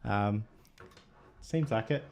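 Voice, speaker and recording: Laid-back New Zealand man, low voice. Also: slow pace, vocal fry. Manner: relaxed, thinking aloud with many fillers, reading viewer comments. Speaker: livestream host. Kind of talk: casual live chat.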